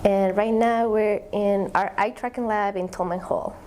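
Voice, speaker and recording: A woman's voice: mid-range voice, steady tone, measured pace, a little mellow, a little hiss or hum. A young woman, a graduate student explaining her research in an interview, thoughtful and searching for words. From Ecuador. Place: eye tracking lab.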